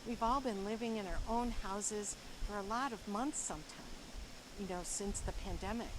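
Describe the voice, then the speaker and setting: A woman reading a picture book aloud to young children, with expressive, deliberate and dramatic phrasing. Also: mid-range voice, background noise. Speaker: school librarian reading story time.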